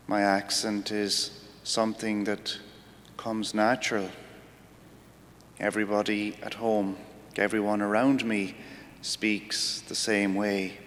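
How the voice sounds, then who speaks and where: A man with an Irish accent gives a homily at a weekday Mass. He speaks slowly in a low, even voice, measured and reflective. His words ring out with a long church reverb over a faint background rumble. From Ireland. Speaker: priest giving a homily.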